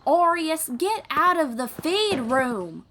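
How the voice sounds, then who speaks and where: Woman acting an unhurried, encouraging young female bard. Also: high-pitched, very animated, a little hiss or hum, full character voice. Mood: playfully scolding. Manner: encouraging, gently instructive, folksy. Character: kind, patient young woman bard teaching horse riding. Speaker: voice actor in an audio roleplay. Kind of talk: audio roleplay acting, speaking to the listener.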